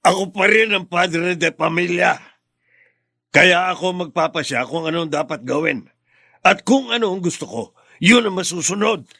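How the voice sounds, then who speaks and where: Radio drama: stubborn stern father, gravelly, authoritative, recorded dry. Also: sits high, expressive, moderate pace, pushed and bright, dry recording, heavily acted. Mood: commanding. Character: stern, stubborn middle-aged father and family patriarch. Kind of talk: Filipino radio drama acting.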